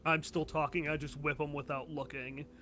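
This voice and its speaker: A man's voice: fairly high pitch, little pitch movement, normal speed, sharp tone, a little hiss or hum. A man playing a tabletop game, talking casually and jokingly as he describes his actions.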